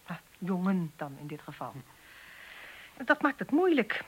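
An actress in a Dutch radio drama playing a brisk, impatient female police detective with a sarcastic manner. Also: lowish voice, big pitch swings, slightly rough, exaggerated voice, low-quality recording. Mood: sardonic.

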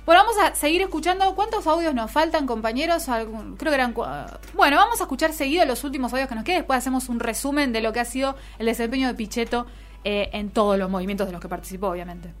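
A woman's voice: on the high side, fast, faint music behind. A woman with an Argentine accent hosting a radio show, chatting casually about politics. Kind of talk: casual political commentary chat.

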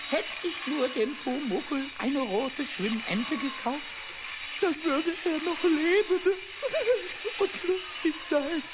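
A man voicing a cheeky, childlike little kobold in a children's radio play, stammering and pleading theatrically in a high, squeaky voice. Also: heavy background noise. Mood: tearful, theatrical. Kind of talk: 1970s German children's radio play acting.

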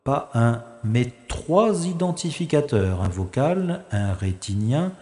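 A man playing a wry, self-assured secret agent in a science-fiction audio drama, musing in a measured, formal way. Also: medium pitch, lively intonation, dark tone, reverberant. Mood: unimpressed.

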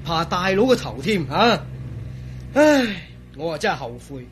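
A man's voice: on the high side, sing-song, a little slow, a little hiss or hum. An actor with a Hong Kong Cantonese accent playing a chatty, good-humored male engineer in a radio drama, joking as he talks. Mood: chatty, teasing. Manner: chatty, joking, peppers talk with chuckles and sighs.

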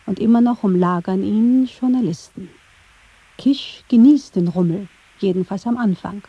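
A woman reading scripted documentary narration in a measured, factual storytelling manner. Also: mid-range voice, dark tone, slightly rough, no echo. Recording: slightly dull, band-limited sound.

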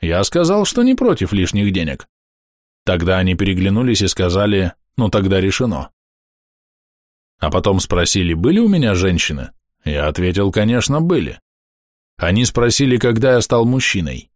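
A man reading a first-person diary aloud in a calm, matter-of-fact, measured way. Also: sits low, no room sound, clean studio sound. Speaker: audiobook narrator.

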